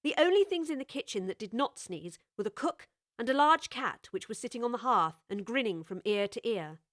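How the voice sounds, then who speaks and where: A woman narrating a storybook with clear, expressive scripted storytelling. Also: fairly high pitch, brisk, dry recording, clean studio sound.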